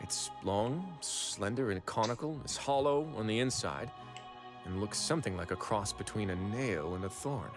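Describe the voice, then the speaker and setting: An actor playing a shrewd, composed duke in a video game, speaking with measured authority. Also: male voice, on the low side, lively intonation, lightly acted. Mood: explanatory. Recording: game music behind the voice.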